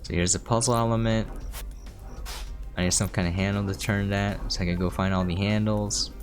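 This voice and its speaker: A man's voice: lowish voice, steady tone, brisk. A man giving casual gameplay commentary, thinking aloud and trailing off, with game music behind him. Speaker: game streamer. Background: video game music.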